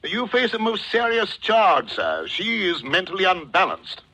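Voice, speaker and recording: An actor in a 1940s radio drama playing a wily, jovial middle-aged gentleman villain who talks with pompous, florid formality. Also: high voice, sing-song, unhurried, full character voice, rough recording. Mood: pompous, insistent. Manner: pompous, formal, chuckling, says "sir" constantly. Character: wily, jovial, florid fat-cat gentleman villain posing as coin dealer. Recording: old 1940s radio recording.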